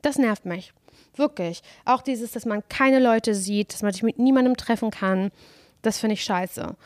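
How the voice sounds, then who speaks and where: A woman's voice: a little high, measured pace, no echo, clean studio sound. A woman co-hosting a casual podcast, chatty and rambling, sick at home with a cough. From northern Germany. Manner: chatty, rambling, lots of "weißt?" tag questions. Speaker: podcast co-host. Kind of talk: casual chat. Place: home, on the couch.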